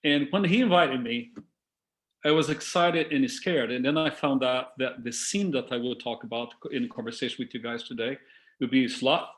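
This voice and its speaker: A man from Brazil whose first language is Portuguese, speaking English with a Brazilian Portuguese accent, giving a lively, engaging talk to a group on a video call. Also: medium pitch, moderate pace. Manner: lively and engaging, addresses listeners as folks. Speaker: guest speaker.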